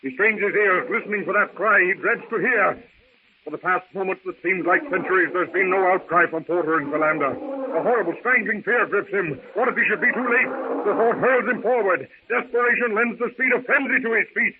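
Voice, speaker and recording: A man's voice: sits high, fairly quick, close to an everyday voice. A man narrating a 1930s radio serial in a clipped, breathless, melodramatic style. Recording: noisy, tinny old radio recording.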